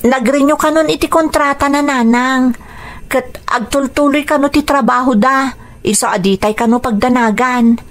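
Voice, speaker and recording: A woman's voice: high-pitched, normal speed, natural voice. A woman playing a caring, cautious grown daughter in a radio drama, with a Filipino accent and an earnest, explanatory manner. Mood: reassuring, cautioning.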